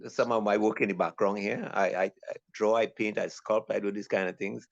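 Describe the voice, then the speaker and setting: An older man in his seventies talking easygoing over a video call, his voice gravelly. The audio is dull, lo-fi and very dry. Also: fairly low pitch, steady tone, brisk, no room sound. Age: 70s. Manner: easygoing, with many ums. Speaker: panel guest. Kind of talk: casual chat.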